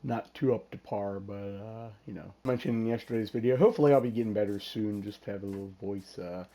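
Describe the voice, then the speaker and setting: Hoarse, rambling male gaming host. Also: on the low side, fast talker, mellow. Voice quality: rough. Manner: rambling, many fillers and restarts. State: hoarse, losing his voice from illness. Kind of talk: casual gameplay commentary.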